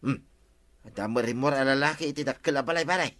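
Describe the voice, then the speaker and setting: A man's voice: mid-range voice, quick pace, close to an everyday voice. A man playing a sociable, persistent drinking buddy in a radio comedy drama. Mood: easygoing.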